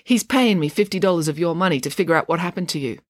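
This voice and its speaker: A woman's voice: fairly low pitch, quick pace, dry recording, studio quality, lightly acted. Woman as a blunt, clever schoolgirl. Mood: analytical.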